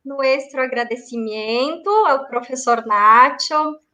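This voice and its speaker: Slow, bright-voiced Brazilian moderator. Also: female voice, high voice. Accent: Brazilian Portuguese. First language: Portuguese. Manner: formal, careful, deliberate. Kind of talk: formal read-out introduction. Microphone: video call.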